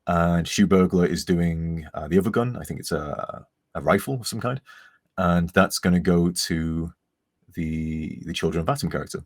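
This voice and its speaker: Man, English accent with slight northern tint, deep voice, husky, dry close sound, rambling casual chat. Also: moderate pace, no echo. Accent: neutral English with a slight northern tint. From England. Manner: chatty, rambling, self-deprecating. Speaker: charity stream co-host. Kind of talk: casual live-stream chat.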